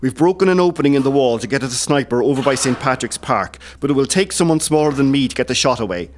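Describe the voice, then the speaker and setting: An actor playing a gruff, practical rebel soldier giving orders in an audio play, with an Irish accent. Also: male voice, mid-range voice, lively intonation, fast, a little hiss or hum, natural voice. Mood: commanding.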